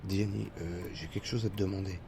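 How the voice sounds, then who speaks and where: A man playing a worried, gentle, apologetic father who speaks tentatively and carefully in an audio drama. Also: sits low, fairly even intonation, fast, gravelly, noisy background. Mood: hushed, hesitant. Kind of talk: amateur French audio fiction dialogue.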